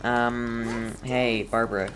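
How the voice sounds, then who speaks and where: A game streamer comments casually on play at a slow pace. The voice sits at a middle pitch, and the talk is halting and slangy, often muttered as if to oneself. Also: little pitch movement, fairly bright tone, faint music behind.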